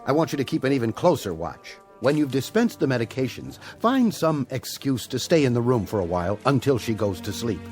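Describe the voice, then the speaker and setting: An actor as a deliberate, expressive hospital doctor. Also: male voice, medium pitch, faint music behind, close to an everyday voice. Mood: businesslike, watchful. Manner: deliberate, formal, thoughtful. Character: measured, reassuring male hospital doctor. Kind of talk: radio drama acting.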